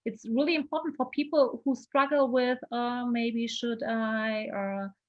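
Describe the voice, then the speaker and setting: A woman's voice: fairly high pitch, fairly even intonation, a little slow, dry recording, highs cut off. A woman with a German accent, a non-native speaker interviewing casually and searching for her words.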